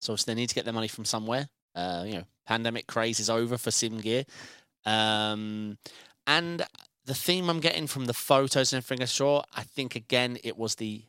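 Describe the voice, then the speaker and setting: A man's voice: mid-range voice, brisk, bright, forceful tone, clean tone, no room sound, clean studio sound. A British man co-hosting a racing podcast, chatty and rambling, often correcting himself mid-sentence. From Britain. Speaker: podcast co-host. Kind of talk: casual podcast chat. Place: studio.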